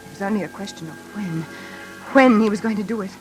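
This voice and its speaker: A woman playing a nervous, desperate heroine in a 1940s radio suspense drama, halting and breathless. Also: mid-range voice, over music, lightly acted, poor audio. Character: frightened, haunted single woman, nervous and desperate. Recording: old radio recording.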